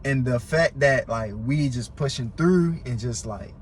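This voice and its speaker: A man's voice: medium pitch, measured pace. Podcast guest: American male, stutters and repeats words, emphatic slangy talk, some background noise. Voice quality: stutter, word and syllable repetitions. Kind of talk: casual chat, heavy slang and swearing.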